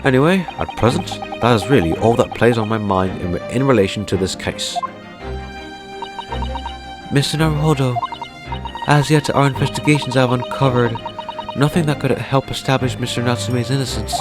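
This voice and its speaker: A man voicing an eccentric, pompous gentleman detective, reading the lines theatrically. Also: mid-range voice, normal speed, natural voice. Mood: grandiloquent. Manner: grandiloquent, theatrical. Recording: game music behind.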